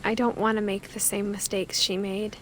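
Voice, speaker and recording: A woman playing a thoughtful young woman, narrating a game in a quiet, understated, reflective voice-over. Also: medium pitch, little pitch movement, normal speed, a little hiss or hum, close to an everyday voice. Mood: pensive, hurt. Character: thoughtful young woman uncovering her family's secrets.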